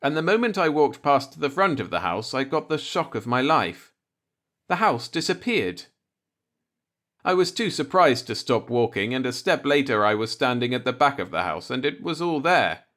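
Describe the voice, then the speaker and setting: A man's voice: mid-range voice, big pitch swings, normal speed, fairly bright tone. A man reading a light-hearted short story aloud as an audiobook narrator, in a scripted storytelling style.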